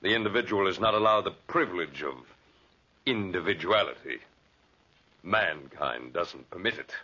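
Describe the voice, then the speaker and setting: An actor in a 1940s radio drama playing a huge, ugly, bitter hermit who speaks with slow-burning, ominous menace. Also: male voice, low-pitched, expressive, bright, forceful tone, slightly rough, full character voice. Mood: bitter, brooding. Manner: deliberate, ominous, theatrical delivery. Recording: dull, muffled 1940s radio recording.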